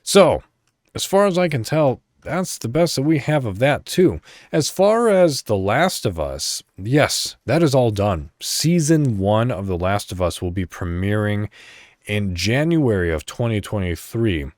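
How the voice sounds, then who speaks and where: A man's voice: lowish voice, very animated, unhurried, a little mellow, no echo, studio quality. A man hosting a casual solo podcast, rambling as he thinks aloud and swearing offhandedly. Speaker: podcast host.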